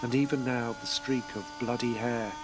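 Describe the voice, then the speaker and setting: A man's voice: mid-range voice, steady tone, moderate pace, background noise. A man giving scripted dramatic narration in an intense, rhythmic way. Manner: intense, rhythmic, second-person at times.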